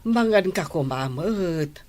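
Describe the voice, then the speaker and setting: A woman's voice: lowish voice, lively intonation, takes it slowly, music in the background. A woman acting a worried, loving older mother admonishing her child in an Ilocano Filipino radio drama. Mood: pleading, admonishing.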